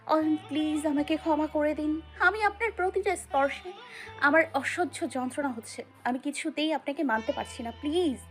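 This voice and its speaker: Actress as frightened yet defiant young fiancée, very high voice, pleading, tearful, romantic audio drama, background music. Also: female voice, moderate pace, close to an everyday voice. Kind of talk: Bengali romantic audio story acting.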